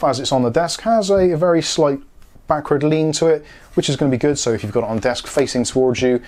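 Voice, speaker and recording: Man, forties, mid-range pitch, matter-of-fact, casual tech review, explanatory. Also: a little mellow. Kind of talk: casual product review and demonstration. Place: small home studio room.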